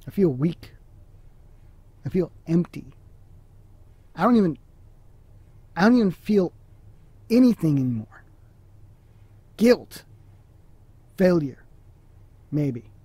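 A man acting as a guilt-ridden drinking husband in an audio drama. His voice is husky and mellow, slightly high for a man, and he talks in a halting, downcast way. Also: very animated, no echo, exaggerated voice. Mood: despairing. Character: despondent, guilt-ridden alcoholic husband and father.

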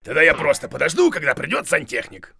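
A man's voice: fairly high pitch, wildly swooping pitch, fast, gravelly, full character voice. A man voicing a blustery, clueless old bearded grandpa creature in a children's educational cartoon, with bumbling, boastful exclamations and grunts. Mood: fretful. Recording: music behind the voice.

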